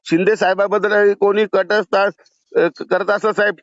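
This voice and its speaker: A man's voice: high voice, flat delivery, moderate pace, no echo. A man on a recorded phone call, insistent and pleading, often interjecting. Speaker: caller to a politician. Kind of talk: phone conversation.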